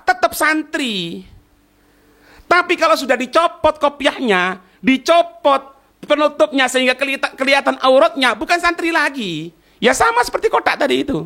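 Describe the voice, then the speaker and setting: Male Islamic teacher, East Javanese Indonesian accent. High-pitched, sharp delivery, didactic, question-and-answer explanations of a text. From East Java, Indonesia. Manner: didactic, asks rhetorical questions and checks understanding. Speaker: Islamic teacher giving a religious study class. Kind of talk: religious lesson reading and explaining an Arabic text line by line.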